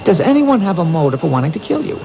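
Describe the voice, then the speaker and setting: An actor playing a patient, thoughtful police detective who asks gentle, probing questions in a 1970s radio drama. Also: male voice, high voice, sing-song, fast, creaky, full character voice. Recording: dull old radio broadcast, noisy.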